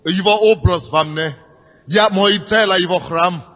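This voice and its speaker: A man's voice: high-pitched, unhurried, pushed and bright. A man preaching. He grows fervent, repeats his phrases and leads the people in prayer. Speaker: pastor. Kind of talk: sermon leading prayer. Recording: dull lo-fi recording in a reverberant hall with music.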